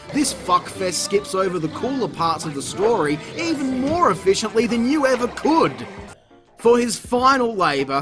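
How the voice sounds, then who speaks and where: Sarcastic, sweary video reviewer, mid-pitched and animated, theatrical exasperation, music bed behind. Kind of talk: scripted comedic review narration.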